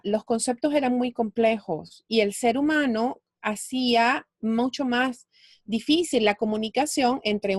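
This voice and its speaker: A woman's voice: a little high, dry recording, studio quality. A woman from Venezuela, explaining her ideas reflectively in a conversational interview. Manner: explanatory, reflective, thinks aloud. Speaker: guest, finance speaker.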